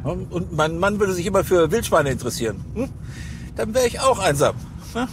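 A man's voice: sits high, lively intonation, brisk. A man playing a pedantic, touchy middle-aged policeman in a radio play, rambling as he seeks agreement. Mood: musing. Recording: clear car noise behind.

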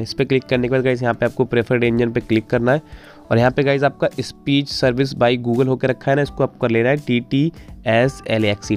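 A man hosts a casual phone tutorial at a quick clip. He speaks informally and repeats himself in a pleading way, with instrumental music behind his mid-pitched voice. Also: darkish tone. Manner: repetitive, pleading, addressing viewers informally. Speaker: tech tutorial host. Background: instrumental background music.

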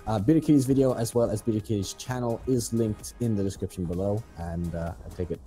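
A man's voice: fairly low pitch, brisk, loud music behind the voice. A young man hosting a co-op gameplay video, chatting playfully and giggling with his friend. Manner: playful, giggly, squeals for fun. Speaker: gaming channel host. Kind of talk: casual co-op gameplay commentary.

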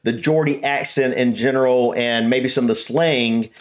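A man's voice: medium pitch, fairly even intonation, moderate pace. A man in his thirties with an American accent, hosting a reaction channel with casual commentary from a room at home. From United States.

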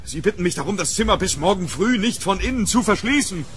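A man's voice: high-pitched, lively intonation, fast, a bit bright, slight background noise. An actor playing a panicked, desperate English nobleman in a horror radio drama, with urgent, stammering repetitions. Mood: angry, frustrated.

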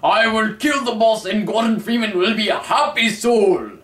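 A man's voice: high-pitched, normal speed, fairly bright tone, pitched above the performer's own voice. A man playing a bombastic, vengeful young action hero with declamatory, melodramatic delivery in a comic reading. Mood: defiant. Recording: roomy, distant camera microphone.

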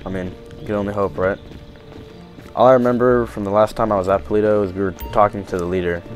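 Man playing a low-voiced, hesitant apocalypse survivor. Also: fairly even intonation, soft background music, natural voice. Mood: unsure, earnest. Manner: halting, casual, hedging. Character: easygoing male zombie-outbreak survivor, earnest and unsure. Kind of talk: improvised roleplay acting in a video game skit.

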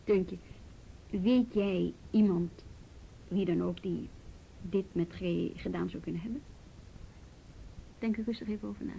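A woman's voice: gravelly, warm tone, rough recording. A woman playing a patient, persistent youth police officer in a radio drama, coaxing. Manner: coaxing, probing, deliberate. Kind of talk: Dutch radio drama acting. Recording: old radio recording, faint rumbly noise.

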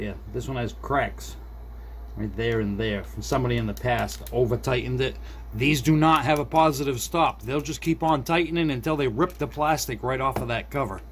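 A middle-aged man giving a casual, unscripted repair demonstration, thinking aloud to viewers as he works at a desk indoors. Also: mid-range voice. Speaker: hobbyist repair video maker. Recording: background noise, room ambience.

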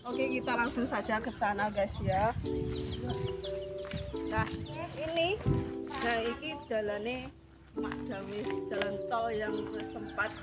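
A woman's voice: on the high side, slow pace, long pauses. A woman in her thirties with a Javanese accent, chatting to viewers while walking through a village with a phone in hand. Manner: chatty, addresses viewers as guys often. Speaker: vlogger. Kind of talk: casual walking vlog. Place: outdoors in a village lane. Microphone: handheld phone at arm's length. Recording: background music.

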